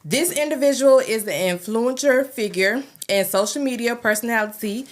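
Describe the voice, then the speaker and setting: Higher-pitched Southern woman hosting a podcast. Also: normal speed. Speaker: podcast host. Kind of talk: podcast hosting, casual chat with read introduction.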